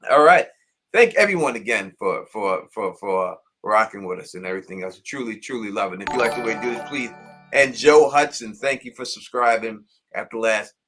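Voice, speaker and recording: A man hosting a casual live stream, giving upbeat shout-outs to viewers and repeating words, his voice getting a little raspy. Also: on the low side, brisk, soft background music.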